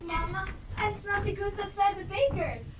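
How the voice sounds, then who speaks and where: Female player as a very high, eager child. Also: normal speed, loud music behind the voice. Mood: excited. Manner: eager, exclaiming. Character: excited young child of a poor family. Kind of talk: live stage play acting. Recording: echoey stage, distant mic, loud noise.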